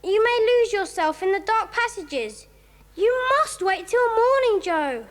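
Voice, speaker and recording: A very high-voiced English schoolboy in a children's audio drama, with lively, swooping intonation. He is polite, capable and used to taking charge. The voice rings in long hall reverb. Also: a little slow, exaggerated voice. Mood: urgent, concerned.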